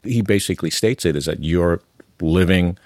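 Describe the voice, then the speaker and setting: A middle-aged man from New York City speaks in a very deep, low register. He talks reflectively in a relaxed interview, livening up when he tells a story. The sound is close and dry. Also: moderate pace, no room sound. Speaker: interview guest, amateur actor. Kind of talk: conversational interview.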